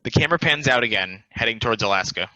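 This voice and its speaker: A man's voice: medium pitch, expressive, fast, pushed and bright, no room sound, low-quality recording. A man reading out stage directions as scripted narration for a play.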